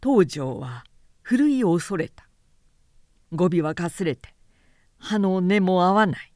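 Woman narrator, slow measured pace, lively intonation, dramatic literary reading, expressive storytelling. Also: on the low side, no room sound, studio quality.

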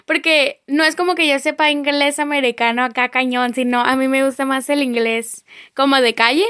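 A woman's voice: sits high, a bit bright, clean tone. A young woman, chatting casually, rambling and correcting herself as she goes. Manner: rambling, self-correcting, laughing. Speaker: co-host, sister. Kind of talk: casual chat.

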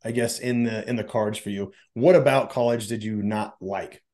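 A man's voice: fairly low pitch, fairly even intonation, moderate pace, dark tone. Laid-back male podcast host. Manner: laid-back, filler-heavy questions. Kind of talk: casual interview hosting.

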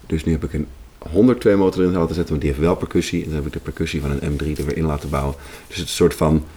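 A man's voice: extremely low pitch, steady tone, rapid-fire, warm tone, slight background noise. A man, a musician, chatting casually as an interview guest.

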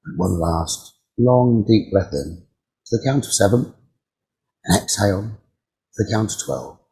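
A man leading a guided meditation as a teacher, giving calm, soothing instructions with frequent pauses. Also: on the low side, low-quality recording.

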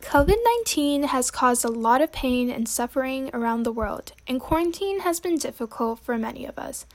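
A woman's voice: sits high, expressive, moderate pace. A young woman hosting a student poetry podcast, reading her commentary in an earnest, read-aloud way. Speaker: podcast host.

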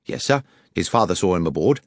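A man's voice: deep voice, animated, a little fast, no echo, clean studio sound. A man voicing a respectful, matter-of-fact railway station worker with plain, clipped phrasing. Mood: respectful, certain.

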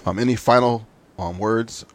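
A man's voice: on the low side, normal speed. A man with a Southern US accent hosting an interview in a casual, informal and appreciative way. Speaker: podcast host and interviewer.

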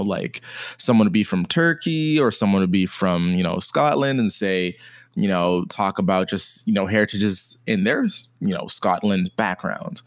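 A young adult man speaking in a thoughtful, conversational monologue, often pausing with "you know". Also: fairly low pitch, no echo, clean studio sound. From Jamaican heritage, grew up partly in America. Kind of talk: personal reflective monologue.

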